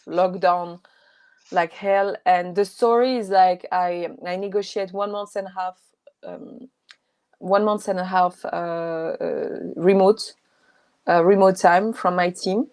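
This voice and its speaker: A woman's voice: lowish voice, steady tone, slow, smooth, dry recording. A woman from North Africa whose first language is Kabyle, guesting on a podcast, talking with fillers and frequent laughs. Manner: warm, laughs often, hesitant with fillers. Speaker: guest, co-living space manager. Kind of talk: casual interview chat.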